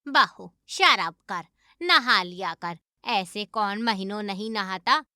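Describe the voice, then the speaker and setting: Sharp-voiced nagging mother-in-law. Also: medium pitch, expressive, slow pace, smooth, dry recording, clean studio sound, exaggerated voice. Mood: angry, commanding. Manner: nagging, accusatory. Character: scolding older mother-in-law, sharp and suspicious. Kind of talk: animated moral story dialogue.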